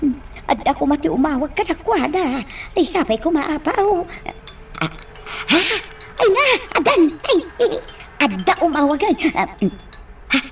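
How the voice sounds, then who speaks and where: An actress playing a flustered, scheming household helper in a radio drama, muttering to herself and stammering with exclamations. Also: female voice, sits high, sing-song, normal speed, far from the mic, a little hiss or hum. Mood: anxious muttering. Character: flustered, scheming female household helper sneaking phone calls.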